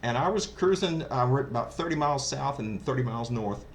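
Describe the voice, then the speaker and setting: A man's voice: mid-range voice, lively intonation, fairly quick. A man giving a casual first-hand review in a chatty, enthusiastic, anecdotal way. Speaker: hobbyist product reviewer. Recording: clearly noisy background ambience.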